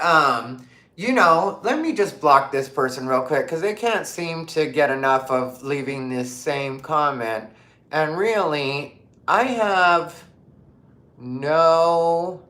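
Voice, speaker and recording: A woman's voice: deep voice, fairly bright tone, roomy sound. A woman from Arizona chatting casually on a livestream, reading comments and firing off emphatic rhetorical questions with lots of fillers. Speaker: livestream host. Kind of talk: casual live stream chat reading comments.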